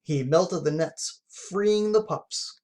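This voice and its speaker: Expressive storybook reader, mid-pitched voice. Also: slow pace. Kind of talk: children's storybook read-aloud.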